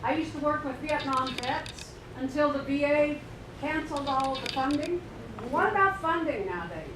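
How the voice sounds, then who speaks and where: A woman in the audience asking the panel a question in a loud, direct way. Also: high voice, lively intonation, normal speed. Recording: echoey hall from far off with noise.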